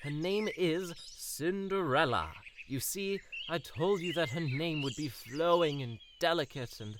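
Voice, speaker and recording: A man's voice: a little high, measured pace, background noise, lightly acted. A man playing a melodramatic, lovestruck, oblivious young prince with florid formal phrasing in a comedic audio drama. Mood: smugly delighted.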